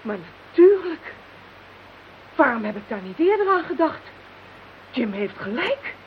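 A sensitive, excitable telepath in a radio play. Her voice is high and swings widely in pitch. The recording sounds dull and slightly hissy. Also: measured pace. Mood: thoughtful. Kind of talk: radio drama acting.

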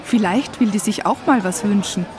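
A woman's voice: mid-range voice, normal speed. A woman giving reflective first-person narration and chatting in the street, with a playful, poetic manner. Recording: music and street sounds behind.

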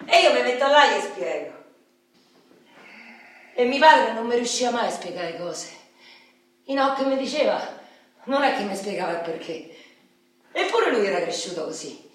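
Echoey, high-voiced Roman street woman played by an actress. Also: animated, normal speed, long pauses, close to an everyday voice. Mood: tender. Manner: colloquial, rambling, confiding, with outbursts. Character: rough, candid, wounded street woman, ex-convict and addict. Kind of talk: radio theatre monologue. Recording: very echoey room, distant microphone.

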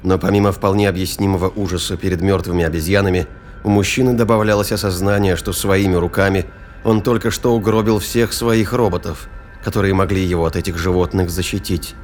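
A man reading scripted fiction narration in a measured, literary storytelling manner. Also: low-pitched, steady tone, faint music behind.

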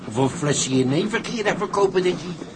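Cheery, colloquial sailor, slightly high voice, radio play, loud train rumble behind. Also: male voice, lively intonation, brisk. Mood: eager. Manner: plain-spoken, colloquial. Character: cheery sailor fond of food and drink. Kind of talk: radio drama acting.

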